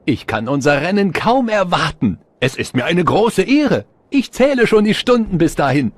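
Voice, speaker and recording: A man voicing a gushing, polite racing car who is an adoring fan, effusive and flattering, in an animated film dub. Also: high voice, moderate pace, no room sound. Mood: starstruck.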